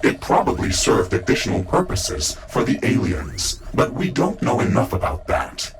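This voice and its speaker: A man voicing a calm, loyal artificial intelligence in a video game, with measured, formal, analytical speech. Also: sits low, music in the background, heavily acted. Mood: informative, calm. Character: calm, loyal male AI of a battle mecha suit. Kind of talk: scripted video game dialogue.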